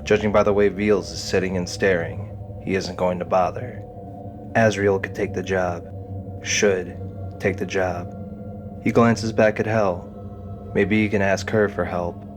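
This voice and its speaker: A man reading scripted dramatic narration as the narrator of an audio drama. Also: sits low. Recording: music behind the voice.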